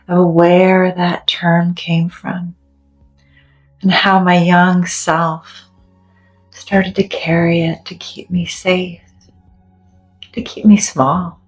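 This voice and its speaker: Slow low-voiced woman guiding meditation. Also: rough recording. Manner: gentle, soothing, deliberate with long pauses. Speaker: meditation guide. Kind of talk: guided meditation. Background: soft background music.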